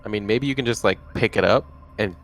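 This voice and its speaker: A man's voice: on the low side, quick pace, a bit bright, soft background music, low-quality recording. A man playing a tabletop game, talking casually with friends. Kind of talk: casual group game chat.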